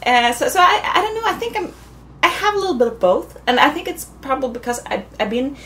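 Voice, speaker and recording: A woman's voice: high-pitched, animated, fast talker, bright, forceful tone, a little hiss or hum. A woman from Sweden, a photographer guest, answering in an interview hesitantly with many restarts. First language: Swedish.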